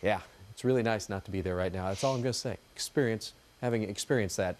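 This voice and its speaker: A man's voice: lowish voice, quick pace, a little hiss or hum. A man giving an ad-libbed TV weather forecast in a chatty, folksy, informal way.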